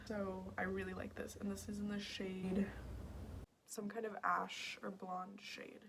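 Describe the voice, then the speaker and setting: Young woman beauty vlogger, raspy voice, casual tutorial chatter with whispered asides, noisy home recording. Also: mid-range voice, little pitch movement, unhurried. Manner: chatty, slips into whispers while working. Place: home, at a mirror.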